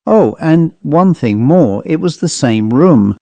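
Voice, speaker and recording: A man's voice: a little high, expressive, slow pace, gravelly, warm tone, dry recording, heavily acted. A man voicing a plain-spoken travelling salesman telling a grim storybook tale in a dry, colloquial, conversational manner. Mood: matter-of-fact. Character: haunted male commercial traveller, plain-spoken teller of grim tales. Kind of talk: dramatised storybook monologue.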